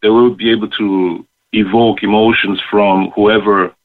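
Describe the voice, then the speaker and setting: A man giving a thoughtful interview over the phone, earnest and measured, pausing mid-sentence. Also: on the low side, level tone. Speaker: interview guest, rapper and youth arts worker. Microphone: phone call. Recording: narrow, tinny phone line.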